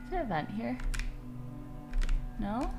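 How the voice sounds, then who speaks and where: A young woman streaming a game from home on a desk mic, muttering to herself and chatting quickly with teammates. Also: on the high side, very animated, music in the background. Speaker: streamer playing a social deduction game. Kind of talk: casual gaming chat. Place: home room.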